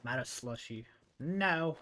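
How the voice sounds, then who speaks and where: A man live streaming a game with casual, rambling commentary, thinking out loud as he plays. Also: mid-range voice, lively intonation, unhurried.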